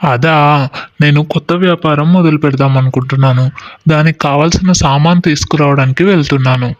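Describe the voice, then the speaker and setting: A man acts a restless young husband in a storybook tale. He speaks earnestly in a fairly high male voice. The recording is dry and a bit muffled. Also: steady tone, fast, no room sound, lightly acted. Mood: eager. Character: eager young husband, restless would-be businessman. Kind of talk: acted moral-story dialogue.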